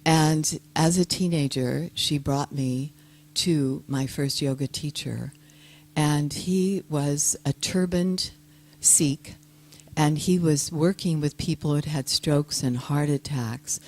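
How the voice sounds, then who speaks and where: An older woman, a guest speaker giving a soft-spoken, gentle and reflective personal talk to an audience. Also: low-pitched, slow.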